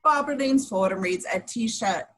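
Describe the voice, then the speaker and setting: A woman's voice: a little high, lively intonation, moderate pace, a bit bright, breathy edge. A woman reading screenplay action lines as the narrator of a table read. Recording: home recording.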